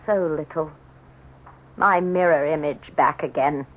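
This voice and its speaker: Vain scheming wife, husky, anguished, imperious, melodramatic radio drama, muffled old recording. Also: female voice, deep voice, measured pace. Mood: bitter, pleading. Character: vain, desperate, scheming governor's wife bargaining with Devil. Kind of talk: melodramatic radio drama acting and first-person narration. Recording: muffled lo-fi vintage radio broadcast.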